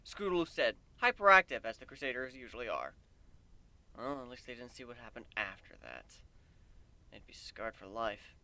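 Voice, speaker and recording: A man reading a story aloud in the first person as an amateur dramatic narrator, expressive and wry. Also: medium pitch, moderate pace.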